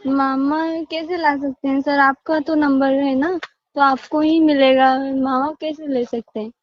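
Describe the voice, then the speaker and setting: A woman's voice: high-pitched, steady tone, unhurried, no echo. A woman customer care agent on a phone call, polite and businesslike. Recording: muffled phone call recording.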